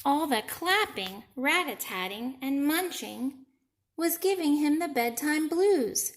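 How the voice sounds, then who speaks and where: A woman with a fairly high voice reads aloud as a teacher of young children. She goes very slowly and deliberately, explaining as she goes and putting questions to her listeners. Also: expressive. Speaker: elementary school teacher.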